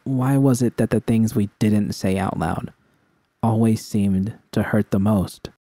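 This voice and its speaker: A man's voice: lowish voice, a little slow, mellow, dry recording. A man reading a first-person dramatic story as its narrator. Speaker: narrator reading fan fiction.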